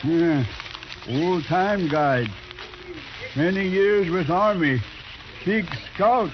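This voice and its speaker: A proud old army scout in a radio western, pleading his case in clipped, broken English. His voice sits fairly high for a man and moves slowly. The old recording is muffled and clearly noisy. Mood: earnest. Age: older.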